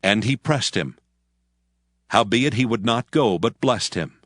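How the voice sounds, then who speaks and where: Lowish-voiced solemn male scripture narrator. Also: lively intonation, unhurried, fairly bright tone, no room sound, clean studio sound. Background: faint music.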